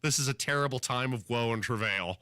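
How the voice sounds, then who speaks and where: A man's voice: mid-range voice, a little fast, pushed and bright, no echo. A male radio talk show host with a slight cold, telling a story in an exasperated, sarcastic way with dramatic asides. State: fighting a cold, a little scratchy. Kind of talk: casual on-air storytelling. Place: radio studio.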